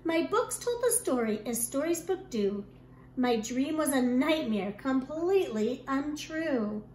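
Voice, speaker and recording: A woman kindergarten teacher reading a rhyming picture book aloud to young children in an expressive, deliberate storytelling manner. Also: high voice. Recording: echoey room with clear background noise.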